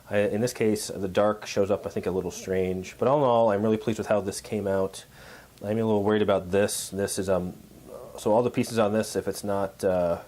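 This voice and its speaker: A man with a hoarse voice from a cold, casually showing off his painted models, pausing often with filler words. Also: lowish voice, darkish tone. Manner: halting, many uh and um fillers. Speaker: miniature painting hobbyist. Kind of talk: casual show-and-tell vlog.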